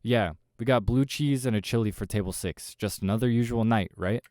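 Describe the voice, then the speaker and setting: Casting: male reader as nervous young waiter in a horror game, pitch lifted, rattled and wry. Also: animated, moderate pace, a little mellow, no echo, studio quality, natural voice. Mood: exasperated. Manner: nervous, stammering when serving. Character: frightened, sarcastic young human waiter.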